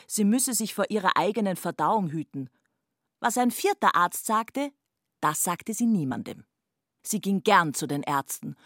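A woman's voice: medium pitch, fairly bright tone, dry recording, studio quality. A woman narrating a literary radio play in a wry storytelling manner.

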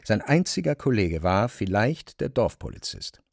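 Male narrator for literary radio drama, lowish voice, very dry close studio recording. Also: sing-song, moderate pace, creaky, a little mellow, no room sound.

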